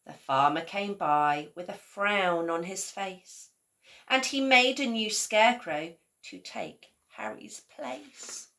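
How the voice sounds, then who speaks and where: Slow lowish-voiced lady teacher reading a kids story out loud, expressive. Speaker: primary school teacher reading to pupils. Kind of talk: children's storybook read-aloud.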